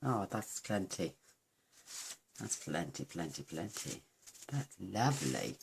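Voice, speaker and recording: A woman with a croaky voice, chatting warmly through a card-making demonstration and gushing over each step. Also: a bass voice, sing-song, takes it slowly, slight background noise. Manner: gushing, talks to viewers while working.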